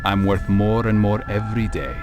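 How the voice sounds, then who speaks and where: An actor playing a calm, principled assassin in a video game, speaking in a measured, formal, eloquent way. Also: male voice, on the low side, natural voice. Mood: cool, resolute. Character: calm, principled male assassin, philosophical and resolute. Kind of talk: video game cutscene voice acting. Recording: music behind the dialogue.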